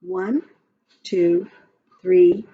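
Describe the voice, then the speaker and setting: A woman reading a rhyming picture book aloud to young children in an expressive, sing-song way, with counting and little asides. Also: on the low side, drawn-out words, slightly rough. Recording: muffled lo-fi audio with background music. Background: music from a picture-book app.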